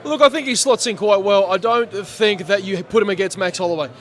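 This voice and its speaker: Aussie MC guy, high voice, going hoarse, chatty and laughing along. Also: a little fast. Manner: animated, chatty, laughs often. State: losing his voice. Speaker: MC, guest. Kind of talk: post-fight reaction interview. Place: sports bar at a casino.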